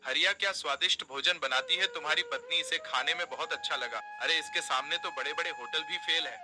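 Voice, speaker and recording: A man voicing a shrewd, genial, food-loving wealthy merchant in a cartoon moral story, speaking in a deliberate, declamatory way. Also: a little high, music in the background, natural voice. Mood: pleased. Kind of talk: animated moral story dialogue.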